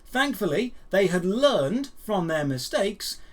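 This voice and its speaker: A man's voice: high-pitched, very animated, takes it slowly. A man hosting a gaming and geek news show, giving dry, opinionated commentary with emphatic phrasing and rhetorical questions.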